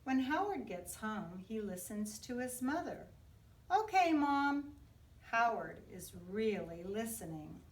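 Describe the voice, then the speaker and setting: An echoey room surrounds this woman, who sits a little back from the mic. She reads a children's storybook aloud in an expressive, very lively voice, shaping it deliberately for small kids. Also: mid-range voice, distant mic. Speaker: children's book reader. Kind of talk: read-aloud picture book narration.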